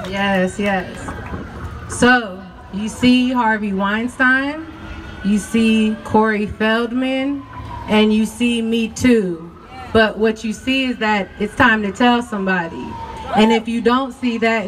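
A woman's voice: a little high, fairly bright tone. A young woman giving an impassioned speech from an outdoor rally stage into a handheld mic. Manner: impassioned, leads call-and-response chants. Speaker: rally speaker. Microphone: handheld mic on stand, filmed from afar. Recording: distant mic, crowd and street noise.

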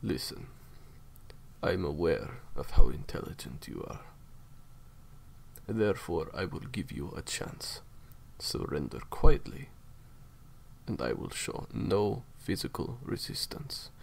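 Man playing a deep, gravelly, courteous villain. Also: very animated, full character voice. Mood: smug, courteous. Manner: formal, unhurried, theatrically polite threats. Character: menacing, courteous male villain, cold captor. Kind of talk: roleplay audio drama monologue.

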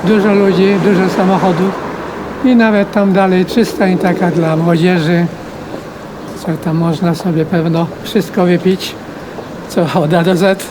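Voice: medium pitch, flat delivery, unhurried. An older person giving casual answers in an interview outdoors on a city street. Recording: traffic noise on a city street.

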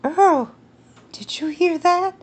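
Woman reading as a shrill terrified lady, squeaky high, storybook voice. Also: slow pace, a little mellow. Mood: frantic. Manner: shrill, frantic. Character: panicky woman, terrified of snakes.

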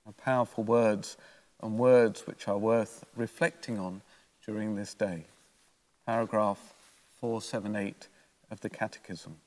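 Older priest in a reverberant church. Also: male voice, on the low side, steady tone, a little slow, darkish tone. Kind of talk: homily, read from prepared notes. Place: church, at a lectern. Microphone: gooseneck lectern microphone.

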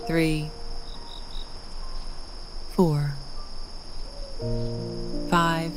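A woman narrating a calming bedtime story for toddlers in a soothing, gentle manner, with long pauses. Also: deep voice, faint music behind.

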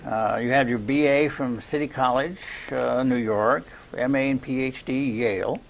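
An older man hosting a local TV interview in a courteous, halting manner. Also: medium pitch, fairly even intonation, vocal fry. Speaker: interview host. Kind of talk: local TV interview, unhurried introduction and questions. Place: small cable TV studio. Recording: noisy 2001 VHS studio recording.

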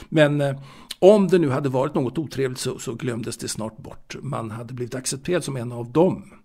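An actor playing a fastidious, proud waiter and former seaman in an audio drama monologue, confiding in a halting, repetitive way. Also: mid-range voice, warm tone, natural voice. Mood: wistful, confiding. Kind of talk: intimate dramatic monologue, told to a listener.

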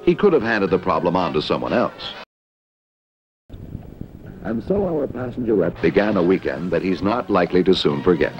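An American man reading scripted narration for a 1960s corporate training film in a polished, measured announcer's delivery. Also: low voice, big pitch swings, soft background music. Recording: dull, dated 1960s film soundtrack.

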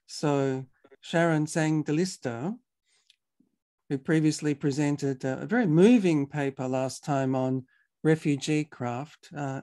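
A man hosting a video-call talk, introducing speakers in a measured, formal way with some fillers. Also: a little high, warm tone. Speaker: webinar host. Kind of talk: moderating an online talk.

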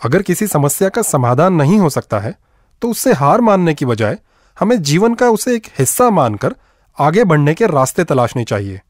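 Expressive, higher-pitched male radio narrator. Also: normal speed, no room sound, studio quality. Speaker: radio presenter.

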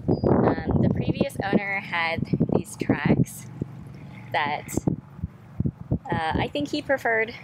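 A woman's voice: mid-range voice, animated, slow pace, long pauses, heavy background noise. A young woman co-hosting a sailing vlog, talking casually and laughing easily as she explains boat jobs outdoors on a docked sailboat. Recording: music playing underneath.

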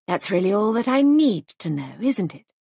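A woman narrator acts a spirited young hostess in a storybook. She speaks lightly and briskly with pointed politeness and quiet defiance. The voice is a little husky, recorded dry. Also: mid-range voice, sing-song, no echo, heavily acted. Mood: sweetly defiant.

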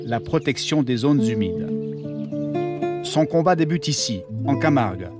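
Male narrator, mid-pitched, scripted documentary delivery, loud music bed. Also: expressive, unhurried.

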